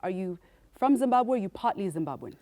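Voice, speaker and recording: A woman hosting a televised studio debate, introducing guests and putting questions to them in a brisk way. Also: mid-range voice, animated, warm tone. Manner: brisk, leads applause. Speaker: debate host. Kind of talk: TV studio moderating.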